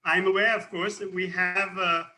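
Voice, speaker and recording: A man's voice: high-pitched, flat delivery, moderate pace, sharp tone. An older man with an Indian accent, a retired naval officer speaking as a guest expert in formal, elaborate language over a remote link.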